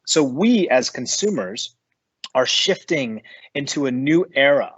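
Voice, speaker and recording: A man's voice: medium pitch, expressive, a little slow, a bit bright, slightly rough, no echo, poor audio. A man giving earnest, emphatic advice as an investor on a panel over an online call. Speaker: investor and business adviser on a panel.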